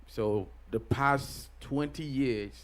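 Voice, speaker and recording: A middle-aged man from Ghana, a pastor being interviewed, answering in a halting way with many pauses and restarts. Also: medium pitch. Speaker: pastor and guest.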